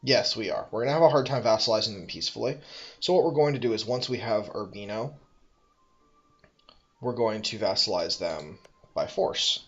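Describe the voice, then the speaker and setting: A man's voice: on the low side, animated, normal speed, highs cut off, soft background music. A man thinking aloud as he plays a strategy game, musing, correcting himself and making dry asides. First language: English. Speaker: let's play host.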